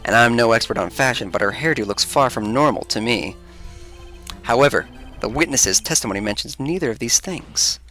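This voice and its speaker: A man's voice: mid-range voice, expressive, a little fast, bright, forceful tone, faint music behind. A man voicing a determined young male defense attorney in an assertive, argumentative tone.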